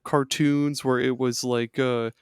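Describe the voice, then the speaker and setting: A man with a gravelly, fry-tinged voice rambles through gaming memories on a podcast, peppering his talk with fillers and curse words. He sits close to the mic in a dry, dead room with no echo. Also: medium pitch, little pitch movement, takes it slowly. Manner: rambling, swears a lot, many fillers. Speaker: podcast guest. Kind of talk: casual gaming chat.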